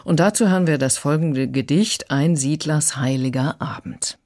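A woman's voice: low voice, moderate pace, a little mellow. A woman giving a conversational review as a critic in a radio discussion.

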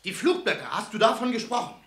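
A man's voice: high-pitched, big pitch swings, fast, fairly bright tone. A man acting an impatient young comrade in a radio drama, blunt and irritated. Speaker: radio play actor.

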